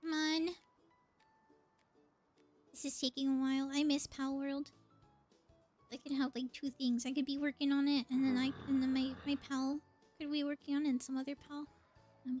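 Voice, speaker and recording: A woman's voice: sits high, flat delivery, normal speed, pauses often, darkish tone, faint music behind. A woman live-streaming a video game, chatting casually and thinking aloud as she plays.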